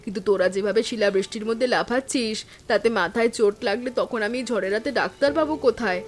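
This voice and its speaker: A woman voicing an affectionate, fretting middle-aged mother and mother-in-law in an animated village drama. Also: medium pitch, fast, close to an everyday voice. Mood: fretful scolding. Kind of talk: animated family drama voice acting.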